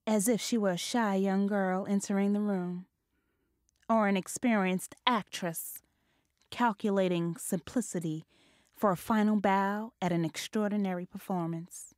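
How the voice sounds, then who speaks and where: A woman's voice: medium pitch, fairly even intonation, unhurried, no echo, studio quality. A woman narrating a scripted ghost story in a descriptive, literary style.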